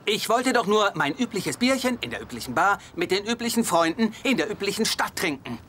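Einen genervten jungen Mann. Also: male voice, sits high, expressive, fairly quick, a bit bright, a little hiss or hum. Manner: exasperated, defensive. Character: exasperated, earnest young man. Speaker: dubbed sitcom character.

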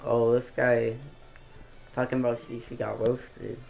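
A man's voice: mid-range voice, flat delivery, measured pace, gravelly, darkish tone, faint music behind. A man chatting casually with friends in a game party, bragging and trash talking playfully. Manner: boastful, playful trash talk. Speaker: gamer chatting in a party while waiting for a match. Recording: muffled lo-fi game chat audio.

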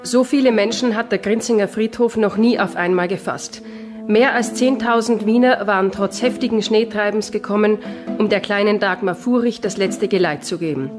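An actress playing a solemn reporter, delivering measured scripted documentary narration in a radio drama. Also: female voice, medium pitch, music in the background, natural voice. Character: solemn female newsreel-style reporter narrating events.

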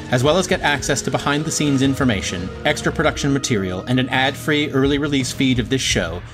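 A man reading scripted podcast credits as an announcer, in a measured, formal way. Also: medium pitch, a bit bright.

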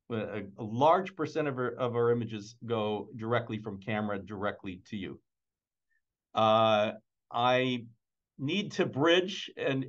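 A man giving an informal slide presentation over a video call, speaking in halting phrases with repeated words. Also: mid-range voice. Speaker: presenter, museum imaging department staff. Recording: dull, band-limited video call audio.

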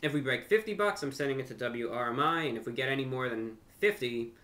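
Young American man with a bright, crisp edge. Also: mid-range voice, animated, fast talker. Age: young adult. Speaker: host of a video channel and radio show. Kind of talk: casual monologue to camera. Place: furnished room at home.

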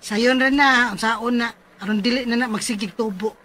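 A woman's voice: fairly high pitch, fairly even intonation, moderate pace, sharp tone. An actress playing a firm, worried thirty-two-year-old mother in a Cebuano radio drama. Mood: concerned.

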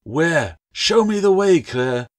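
A man's voice: a little high, very animated, slow pace, voice pushed up. A man voicing a desperate, bound, blind stranger in an audiobook, gasping and howling his demands. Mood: desperate, demanding. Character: desperate bound cowled blind man, shouting over storm.

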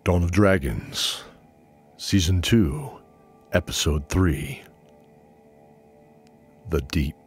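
A middle-aged man hosting and narrating an audio drama in a measured, deliberate way with long pauses. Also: low-pitched. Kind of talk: sincere spoken introduction, then scripted fantasy narration. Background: new-age music.

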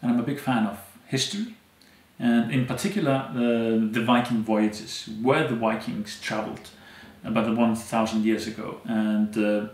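A man in his thirties from Iceland, a native Icelandic speaker, telling stories as an interview guest with many pauses and fillers. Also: on the low side, echoey room. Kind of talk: conversational storytelling. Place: dim indoor room, seated in armchair.

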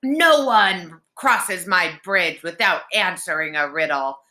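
A woman voicing a short, wide, menacing male bridge troll in a children's storybook, with drawn-out, demanding threats. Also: lowish voice, lively intonation, sharp tone, heavily acted.

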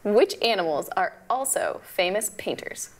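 A woman's voice: mid-range voice, lively intonation, a little slow. Woman doing a playful kids show puppet telling jokes, everyday voice. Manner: playful question-and-answer joke telling. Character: Tuna, joke-telling puppet.

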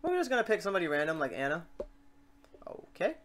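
A tutorial presenter talking informally through software steps and thinking aloud while working. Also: medium pitch, big pitch swings, fast, a little hiss or hum. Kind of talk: casual screen-recorded software tutorial.

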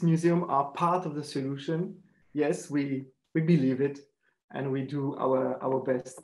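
A man in his forties introduces the conference speakers in a polite, halting way. He speaks very slowly in a slightly high voice. The video call picks up a roomy echo. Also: a little mellow. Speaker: conference host. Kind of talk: moderating introductions. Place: indoor room. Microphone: webcam, video call. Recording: echoey room on video call.

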